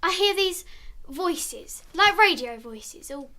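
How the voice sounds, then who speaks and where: A woman's voice: extremely high pitch, expressive, slow, pushed and bright, heavily acted. A female actor playing an earnest, imaginative schoolgirl who insists on being believed, in naturalistic drama dialogue. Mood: earnest, insistent.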